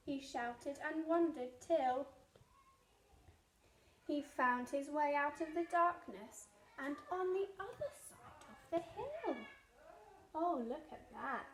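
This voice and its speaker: A woman reading a picture book aloud to young children as a nursery storyteller, expressive, with chatty asides. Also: sits high, slow, echoey room, slight background noise, low-quality recording. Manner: expressive, child-directed, gasps of wonder.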